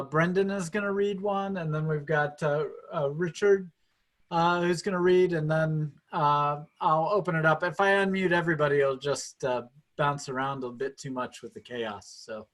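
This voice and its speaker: A man with a voice a little on the high side hosts an online gathering. He speaks informally and haltingly, as if organising as he goes. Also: fairly bright tone. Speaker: host. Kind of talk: informal, halting event hosting. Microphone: video call.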